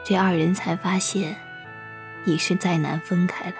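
A woman acting a gentle, sorrowful Buddhist nun master, speaking in a measured, wistful way in a Chinese costume audio drama. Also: fairly low pitch, steady tone, faint music behind, lightly acted. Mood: wistful storytelling.

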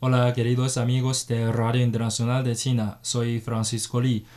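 A man hosting a radio interview in a studio, welcoming guests and asking questions in measured, formal phrasing. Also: medium pitch, level tone, loud music behind the voice. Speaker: radio host.

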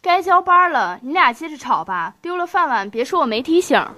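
A woman's voice: high voice, big pitch swings, a little fast, bright, forceful tone, slight background noise, heavily acted. A woman playing an anxious young shop clerk and peacemaker in a radio drama, urgent and pleading. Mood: anxious, exasperated.

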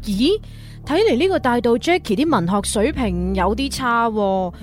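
A woman's voice: fairly high pitch, expressive, unhurried. An actress playing a plucky, sarcastic young woman in a radio drama, speaking with a Hong Kong Cantonese accent. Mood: sceptical, teasing. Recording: music bed under the dialogue.

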